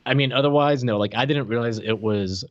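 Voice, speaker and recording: A man's voice: medium pitch, brisk, muffled recording. A man in his thirties chatting casually as a podcast co-host, teasing and joking, on a close desk microphone. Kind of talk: casual chat. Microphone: close desk microphone, video call.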